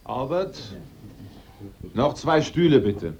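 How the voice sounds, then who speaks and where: A man playing a self-assured amateur sleuth in a German radio drama, speaking politely and deliberately. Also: medium pitch, lively intonation, a little mellow. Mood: deliberate, commanding. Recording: dull radio recording, faint noise.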